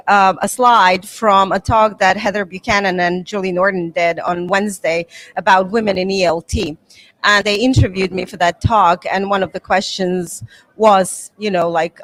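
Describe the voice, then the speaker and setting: A woman's voice: medium pitch, fairly bright tone, poor audio. A middle-aged woman from the Balkans, a Serbo-Croatian native speaker, answering conference interview questions earnestly and thoughtfully, with many fillers. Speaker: materials writer and incoming association president.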